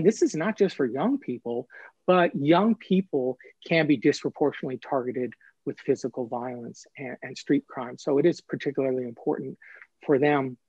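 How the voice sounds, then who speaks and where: A man's voice: on the high side, a little mellow, no room sound, muffled recording. A man speaking as an author being interviewed, explaining things in an earnest, emphatic way and repeating phrases for stress.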